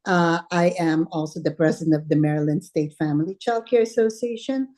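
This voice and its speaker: A woman's voice: fairly low pitch, brisk, mellow. A woman who chairs the committee and runs a family childcare, introducing herself casually at a video meeting with frequent filler sounds. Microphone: video call.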